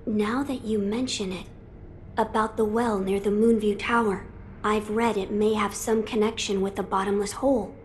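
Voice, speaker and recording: A woman playing a young noble boy lord in a video game, formal, measured and pensive. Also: mid-range voice, fairly even intonation, slight background noise, lightly acted. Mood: grave, pensive.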